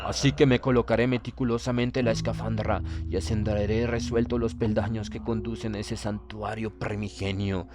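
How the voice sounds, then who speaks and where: Hombre que interpreta a un oficial prusiano solemne y condenado. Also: male voice, lowish voice, level tone, moderate pace, few pauses, natural voice. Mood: resigned resolve. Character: proud, doomed Prussian naval officer writing his last account. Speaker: audiobook reader. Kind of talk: dramatic horror audiobook narration.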